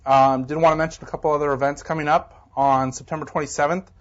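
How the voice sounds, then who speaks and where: A man's voice: fairly high pitch, quick pace. A man organizing a tech meetup, casually reading out upcoming events with many fillers. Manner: relaxed, filler-heavy. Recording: dull lo-fi audio.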